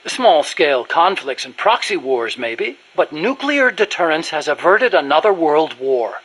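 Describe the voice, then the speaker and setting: An actor playing an earnest, talkative American male nuclear scientist in a video game, explaining things in an animated manner. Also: fairly high pitch, unhurried, breathy edge, exaggerated voice, poor audio. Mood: earnest, persuasive.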